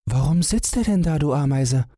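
A man's voice: fairly high pitch, animated, moderate pace, warm tone, no echo, clean studio sound, pitched above the performer's own voice. A man voicing a timid little female mouse who pleads and begs in a storybook reading. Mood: worried. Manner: pleading, plaintive.

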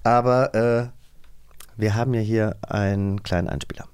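A man's voice: fairly low pitch, steady tone, moderate pace, darkish tone, slight background noise. A man hosting a podcast quiz in a playful, teasing chat style. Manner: joking, teasing. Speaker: podcast host.

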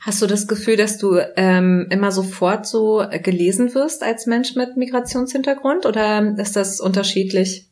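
Relaxed woman in her twenties chatting. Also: mid-range voice, moderate pace, few pauses. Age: 20s. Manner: relaxed, informal, uses filler words. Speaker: conversation partner. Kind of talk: casual conversation.

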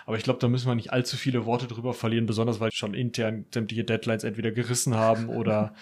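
A man's voice: mid-range voice, level tone, quick pace, a little mellow. A man speaking casually as a podcast guest who makes audio dramas.

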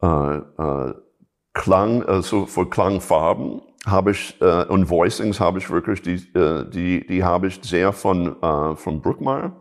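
A middle-aged man from Chicago with an American accent, speaking German in an interview with many pauses and restarts. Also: low voice. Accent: American English. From Chicago, USA. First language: English. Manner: hesitant, many fillers and restarts. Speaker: jazz musician and teacher, guest. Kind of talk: casual interview talk.

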